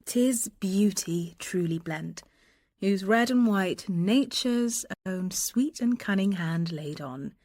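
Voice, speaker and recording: An actress playing a bold, passionate young woman disguised as a gentleman messenger, speaking verse earnestly and lyrically in a Shakespearean radio drama. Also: medium pitch, expressive, no echo, clean studio sound. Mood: admiring, wry. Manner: earnest, lyrical, deliberate delivery.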